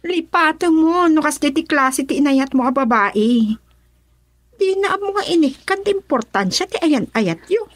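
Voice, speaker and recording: A woman's voice: sits high, animated, a little slow, no room sound. An actress playing a coaxing young woman confidante in a radio drama, with an Ilocano Filipino accent.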